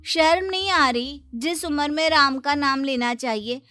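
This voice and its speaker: High, indignant young daughter-in-law acted by a woman. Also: unhurried, sharp tone, no echo. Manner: indignant, protesting. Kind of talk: Hindi children's cartoon storytelling.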